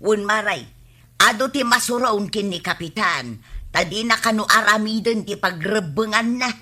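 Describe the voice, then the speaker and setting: A woman's voice: medium pitch, lively intonation, moderate pace, bright, forceful tone. A woman playing a gossipy Filipino village neighbour in a radio comedy drama, tattling about others.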